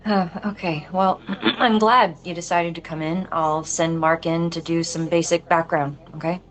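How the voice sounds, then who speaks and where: A woman's voice: on the low side, steady tone, a little slow, faint music behind, close to an everyday voice. A woman playing a composed, wry police lieutenant with a dry, placating manner in a film table read.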